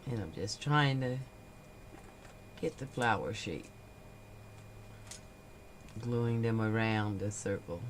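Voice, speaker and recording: Slow, stuffy-nosed woman crafting. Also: extremely low pitch, a little hiss or hum. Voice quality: slightly nasal. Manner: unhurried, talking through each step while working. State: stuffy nose from a cold. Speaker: craft livestream host. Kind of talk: relaxed crafting livestream chat.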